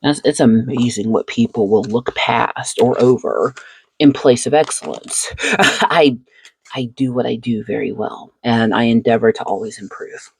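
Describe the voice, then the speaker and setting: A woman's voice: rumbling low, a little mellow, slightly rough, no room sound. A woman hosting a casual chat while sleeving cards, reflective and chatty, laughing often between thoughts. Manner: seated, talks while handling cards. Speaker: channel host.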